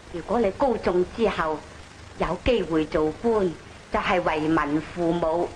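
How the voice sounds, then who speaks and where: An actress playing an upright, middle-aged family matriarch in an old Cantonese opera film, speaking solemnly with a Cantonese accent. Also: female voice, lowish voice, a little hiss or hum, lightly acted, rough recording.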